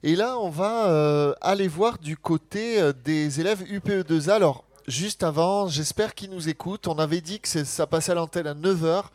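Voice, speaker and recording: A man hosting live radio, chatting casually and pausing often with fillers. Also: on the high side, darkish tone, no echo. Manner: hesitant, many filler pauses. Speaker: radio host.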